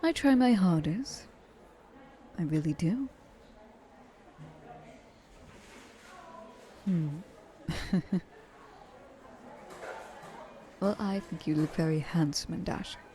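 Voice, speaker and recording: A woman acting as an ancient, wealthy female vampire in an ASMR roleplay. Her voice is low and soft-edged, very mellow, as she coaxes the listener like a flirtatious, patronizing mentor and often slips toward a whisper. Noticeable noise sits behind her. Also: unhurried, close to an everyday voice. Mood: coy. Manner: coaxing, intimate, dropping often into breathy whispers.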